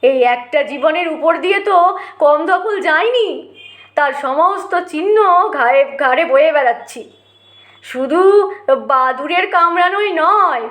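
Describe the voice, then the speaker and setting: A woman's voice: extremely high pitch, a bit bright, reverberant, full character voice. A woman voicing a boastful, touchy uncle who tells tall war tales in a blustering storyteller's way. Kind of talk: acted audiobook storytelling.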